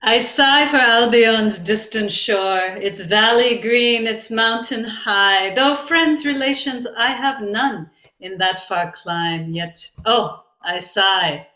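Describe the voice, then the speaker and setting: A woman playing a reflective modern Bengali narrator, telling a poet's life in measured, expressive scripted narration. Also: a little high. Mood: wistful, narrating. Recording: echoey, roomy sound.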